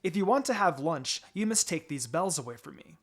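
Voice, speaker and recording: Man voicing a composed female ninja teacher, higher pitched. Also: big pitch swings, fairly quick. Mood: firm. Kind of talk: fan fiction character dialogue. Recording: music behind the voice.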